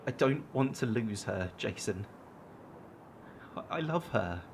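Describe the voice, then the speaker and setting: A man's voice: lowish voice, lively intonation, unhurried, slight background noise. An actor playing an anxious, defensive man in a naturalistic audio drama, hesitant and pleading. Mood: torn, anxious. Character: anxious, defensive man clinging to an abusive girlfriend.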